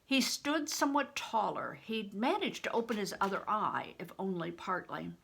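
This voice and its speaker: An older woman, a grandmother, reading a storybook aloud in a deliberate, phrase-by-phrase way. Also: medium pitch, animated, gravelly, fairly bright tone, husky. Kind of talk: storybook narration with plain spoken asides.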